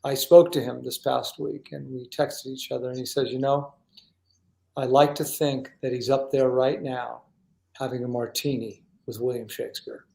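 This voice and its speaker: A man's voice: mid-range voice, level tone, normal speed, gravelly, warm tone. A man hosting a talk show in a casual, heartfelt way, speaking effusively and with emphasis. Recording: roomy, echoey sound.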